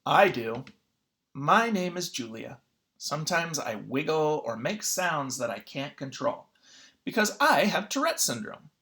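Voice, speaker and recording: Someone reading a children's picture book aloud in an expressive, teacherly way. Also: medium pitch, a little slow. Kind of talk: children's read-aloud narration.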